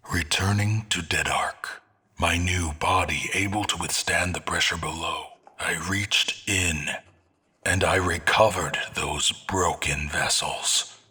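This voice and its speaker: A man playing a brooding, grandiose schemer in a fantasy audio drama, with solemn, ornate, deliberate delivery and a husky, breathy voice. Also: low-pitched, bright, forceful tone, full character voice.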